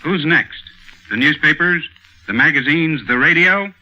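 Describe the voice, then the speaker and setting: A man's voice: fairly high pitch, unhurried, pushed and bright. A man delivering scripted commentary in a 1940s radio style. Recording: muffled 1940s radio recording.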